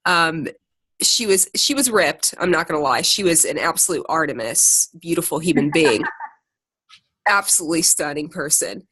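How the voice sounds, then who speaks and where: A woman with an American accent and a fairly low voice leads a group call in a dry, close sound. She talks casually and chattily, with an informal, self-deprecating streak as she motivates the group. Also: fairly bright tone, breathy edge, no echo. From Ohio, United States. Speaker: secondary teacher and podcaster leading a group call. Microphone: conference call.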